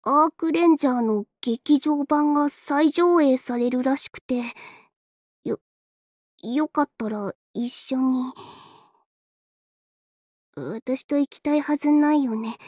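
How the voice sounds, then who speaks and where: A woman's voice: sits high, slow, pauses often, darkish tone, dry recording, clean studio sound. A woman voicing a shy, self-effacing young android fighter in an anime game, hesitant and earnest, with a tendency to stammer. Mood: timid, downcast. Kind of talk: Japanese anime game voice lines.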